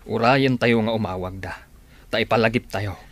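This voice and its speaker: A man playing a worried old farmer father in an Ilocano radio drama, with a husky voice. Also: fairly low pitch, normal speed, a bit bright. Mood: anxious, stern.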